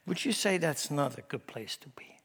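Man, Swiss German accent, middle-aged pastor, slightly high voice, emphatic church sermon, loud then hushed. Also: animated, normal speed, slightly rough. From Switzerland.

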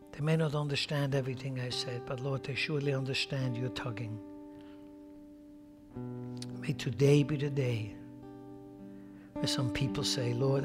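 Swiss-accented man preaching in a hall. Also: medium pitch. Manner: emphatic, rising and falling between loud exhortation and hushed prayer. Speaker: pastor. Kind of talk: sermon and closing prayer. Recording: long hall reverb with faint music.

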